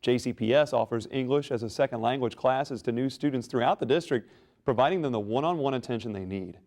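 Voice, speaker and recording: A man hosting a school news program, reading scripted introductions in a smooth, measured way. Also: mid-range voice, darkish tone. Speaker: program host.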